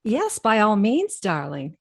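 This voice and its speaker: Gushing, wildly swooping sitcom neighbor wife. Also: female voice, mid-range voice, wildly swooping pitch, slow pace, slightly rough, full character voice. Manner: gushing, affected. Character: gushing, affected socialite-style neighbor wife. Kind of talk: old-time radio comedy acting.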